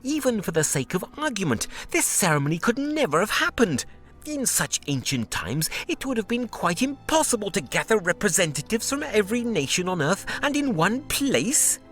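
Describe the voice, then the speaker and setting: An actor playing a scholarly, sceptical translator of ancient books in a video game, with bookish, theatrical delivery. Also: male voice, sits high, very animated, normal speed, fairly bright tone, soft background music. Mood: dismissive.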